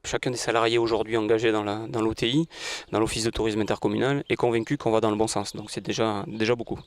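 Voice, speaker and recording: A man's voice: lowish voice, flat delivery, fast, no echo. A man answering in an interview as a director, explaining with hesitations and self-corrections. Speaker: tourist office director.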